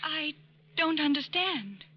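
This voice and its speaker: A woman's voice: sits high, expressive, takes it slowly. An actress in an old radio drama playing a gullible, lonely, tenderhearted woman, flustered and earnest. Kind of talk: mid-century American radio drama acting. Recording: muffled, noisy old radio recording.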